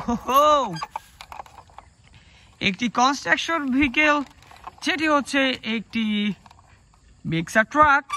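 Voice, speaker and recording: A host presenting a children's toy video to viewers in an excited, exclamatory way. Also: high-pitched, slight background noise. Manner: exclamatory, showing items to the audience.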